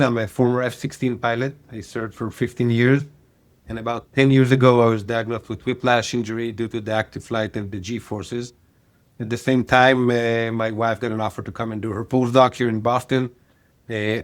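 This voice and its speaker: A man in his forties from Israel with an Israeli accent, a guest answering questions on a podcast in a halting manner with many pauses and fillers. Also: medium pitch. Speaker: guest, company founder. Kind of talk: podcast interview.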